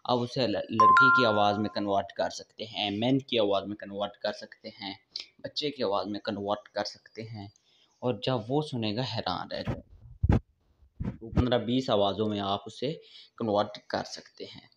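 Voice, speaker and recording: A man with a fairly low voice talks viewers through an app, step by step. He speaks casually, as a channel host would to friends. The sound is dull and lo-fi. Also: steady tone, moderate pace, sharp tone. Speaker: host of a tech tips channel. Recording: dull, lo-fi voice-over recording.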